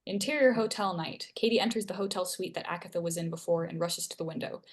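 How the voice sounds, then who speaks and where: A woman's voice: on the low side, fast. A woman reading scene headings and stage directions aloud as narrator at a screenplay table read.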